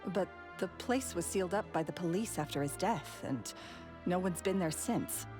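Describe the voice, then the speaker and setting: Voice actress doing a wary bitter game lady, low voice, guarded, music behind. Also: expressive, normal speed, lightly acted. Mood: cautioning. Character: wary, bitter woman haunted by an old death. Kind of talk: video game voice acting.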